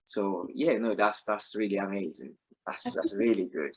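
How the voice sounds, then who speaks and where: A man's voice: fairly low pitch, moderate pace. A male radio host asks long, looping questions packed with ums and you-knows. He keeps encouraging his guest. His tone stays casual and chatty. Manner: encouraging, with rambling questions full of fillers. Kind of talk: casual interview chat.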